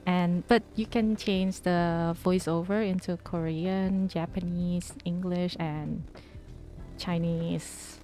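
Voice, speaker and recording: A woman's voice: fairly low pitch, fairly even intonation, slow, dark tone, faint music behind. A woman streamer who reads a romance game's narration aloud and chats casually with viewers between passages. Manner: casual, thinking aloud with fillers. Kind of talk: reading game text aloud and chatting with viewers.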